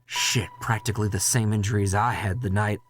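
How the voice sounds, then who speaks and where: A man reading a dramatic first-person horror story in a measured, intense way, with music behind him. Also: on the low side, fairly even intonation, a little hiss or hum.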